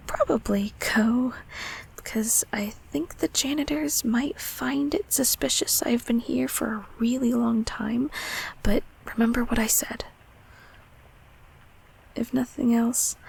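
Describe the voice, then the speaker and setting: A woman playing a gentle, affectionate closet monster in an intimate comfort roleplay, speaking softly and hesitantly in a whispery, reassuring way. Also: on the high side, heavily acted, poor audio. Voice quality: soft, breathy, whispery. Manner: slow, hesitant, tenderly reassuring.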